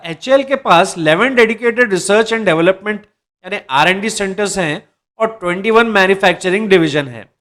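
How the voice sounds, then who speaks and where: A man with a notably high voice presents job news, speaking straight to his viewers. He reads out dates and criteria, now and then stumbling and restarting a word. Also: fairly bright tone. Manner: addresses viewers as "दर्शकों", occasional restarts. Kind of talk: explainer reading job details.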